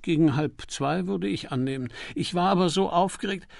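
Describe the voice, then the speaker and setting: Man voicing a timid, fussy, high-pitched witness. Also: moderate pace, vocal fry, no echo, studio quality. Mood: apologetic. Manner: earnest, fussy, flustered. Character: timid, prim, apologetic male witness. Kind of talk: audiobook character dialogue.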